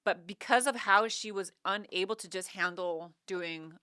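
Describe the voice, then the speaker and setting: A woman's voice: medium pitch, moderate pace, sharp tone, smooth, no room sound, studio quality. A young adult woman comedian in casual, candid chat, telling a story with frequent swearing in front of a live audience. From United States. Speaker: comedian and podcast co-host.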